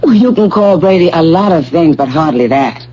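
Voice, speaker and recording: An actress playing a dutiful, apologetic young assistant in a radio drama, with a gentle, placating manner. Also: female voice, low voice, animated, normal speed, a little hiss or hum. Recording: dull old radio broadcast.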